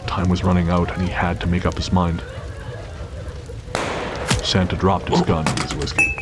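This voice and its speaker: A man reading a scripted dramatic story as narrator, measured and grave. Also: low-pitched, level tone. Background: faint music.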